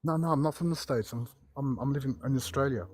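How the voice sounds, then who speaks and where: A man's voice: medium pitch, fast, darkish tone. A man from Lebanon, talking as a caller in a heated casual debate, emphatic and prone to repeating himself. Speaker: caller in a live debate stream.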